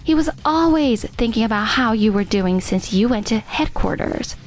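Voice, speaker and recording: A woman's voice: fairly high pitch, expressive, normal speed, natural voice. A woman voicing a protective, outspoken young office coworker in an English manga dub, assertive and ready to scold. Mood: indignant, protective.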